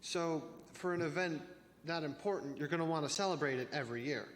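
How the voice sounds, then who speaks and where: A man playing a bookish youth, lightly high voice. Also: fairly quick, fairly bright tone, close to an everyday voice. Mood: bookish, measured. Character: bookish youth, a self-declared trivia champion. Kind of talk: youth church reader's theater. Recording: noisy reverberant hall.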